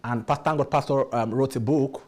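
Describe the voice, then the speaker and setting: A man's voice: mid-range voice, fairly even intonation, moderate pace, a little mellow. A man speaking at a motivational workshop, emphatic, repeating key phrases and putting rhetorical questions to his audience.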